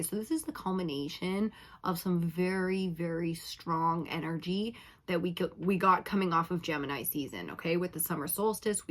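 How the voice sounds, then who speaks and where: A woman reading tarot for her viewers in a casual, chatty way, her voice slightly raspy from hours of talking, often checking in with "okay?". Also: lowish voice. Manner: chatty, laughing, uses filler like "okay?". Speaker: tarot reader.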